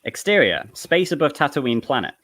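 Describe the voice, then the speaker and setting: A man's voice: on the high side, very animated, normal speed, a bit bright. A man reading stage directions as narrator in a live comedic table read, with an amused manner.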